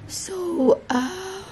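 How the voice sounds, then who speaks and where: A young woman from Kenya with a Kenyan accent, chatting to camera in a casual vlog, hoarse with a sore throat and switching between English and Swahili. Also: very high voice. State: hoarse, losing her voice. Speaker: vlogger. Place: indoors. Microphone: camera mic held close.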